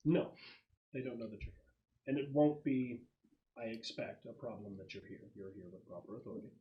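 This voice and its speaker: A man voicing a calm, compliant android crewman in a tabletop game, speaking formally and precisely. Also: medium pitch, a little fast, lo-fi sound, close to an everyday voice.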